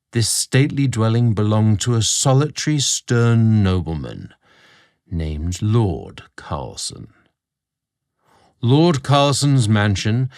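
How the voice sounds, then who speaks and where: A British man narrating a scripted story, theatrical and deliberate in his phrasing, drawing out words as a podcast host. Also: lowish voice, darkish tone, dry recording, clean studio sound.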